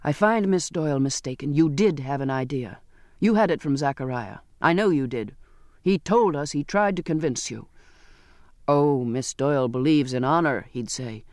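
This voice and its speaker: A big, bitter sailor cook, played by a male narrator in a storybook. He growls bluntly and scornfully, pitched down low, with a very dry, close sound. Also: fairly even intonation, normal speed, hardly any pauses, clean tone, dry recording, lightly acted. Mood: angry, scornful. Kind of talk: audiobook character voice.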